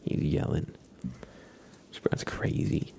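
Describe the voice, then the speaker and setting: A man hosting live ASMR, chatting softly and playfully in a breathy, often whispered voice. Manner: playful, unhurried, talks to his cat. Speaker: livestream ASMR host.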